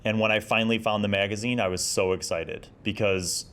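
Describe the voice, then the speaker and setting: Low-pitched American man, casual and nostalgic. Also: steady tone, a little fast, fairly bright tone. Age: 40s. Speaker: host of a retro gaming video series. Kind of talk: casual nostalgic review vlog.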